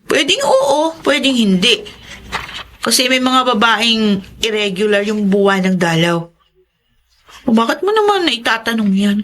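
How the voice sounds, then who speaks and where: An actress playing a bossy young student sister in a radio drama, briskly questioning and nagging. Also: female voice, on the high side, sing-song, fairly bright tone, a little hiss or hum. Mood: curious, probing. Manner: brisk, interrogating. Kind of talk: Tagalog radio drama acting.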